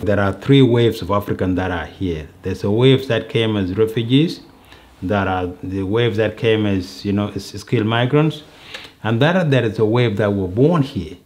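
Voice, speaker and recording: A middle-aged man giving a measured, explanatory interview, seated indoors and working through examples. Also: fairly low pitch, a little mellow, slight background noise. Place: indoor sitting room.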